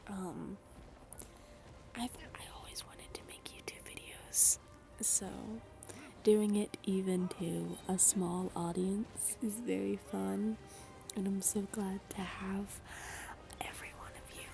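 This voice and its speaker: A young woman whispering her way through soft-spoken gaming chat. She rambles, with frequent ums, and her voice is a little raspy from a dry throat. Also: mid-range voice, level tone, takes it slowly, over music. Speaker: gaming YouTuber.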